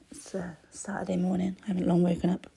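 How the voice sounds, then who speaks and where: A croaky-voiced woman, chatting casually as she crafts, often trailing into a whisper. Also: lowish voice, mellow. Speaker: crafting vlogger. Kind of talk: casual voice-over chat while crafting.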